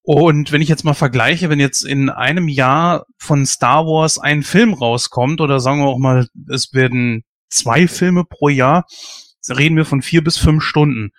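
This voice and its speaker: A man's voice: fairly high pitch, a little slow, dry recording, studio quality. A man in his late thirties hosting a casual discussion, giving strong opinions emphatically and working himself up into a rant. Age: 30s.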